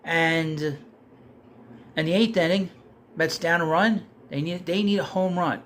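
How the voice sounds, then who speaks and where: A man's voice: on the high side, a little slow, a little hiss or hum. Heated man ranting about baseball. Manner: heated, rising and louder when frustrated. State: losing his voice. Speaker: sports commentator. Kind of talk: casual rant.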